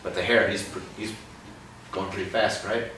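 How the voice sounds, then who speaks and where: A young man reading a picture book aloud to a child, pausing often to ask gentle questions about the words. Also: lowish voice. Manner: expressive storybook reading broken by gentle teaching questions. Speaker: read-aloud host. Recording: roomy, distant mic with background noise.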